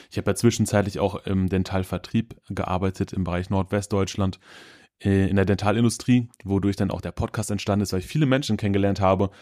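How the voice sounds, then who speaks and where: A man's voice: fairly low pitch, darkish tone. A man in his thirties from Germany, hosting his own podcast in a loose, upbeat chat from his office, with plenty of fillers. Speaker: podcast host. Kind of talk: casual solo podcast chat.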